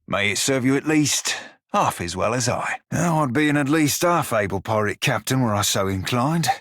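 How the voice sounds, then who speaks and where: An actor playing an irreverent, gruff but soft-hearted furry pirate shiphunter in a video game, speaking in a folksy pirate dialect. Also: male voice, medium pitch, dry recording, clean studio sound. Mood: sincere, fond. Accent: stylized pirate dialect. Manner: colloquial, folksy, archaic sailor's turns of phrase. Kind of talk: video game character dialogue.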